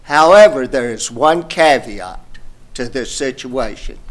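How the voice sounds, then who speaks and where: A man making a deliberate, emphatic public comment at a podium microphone in a county meeting room. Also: mid-range voice, animated.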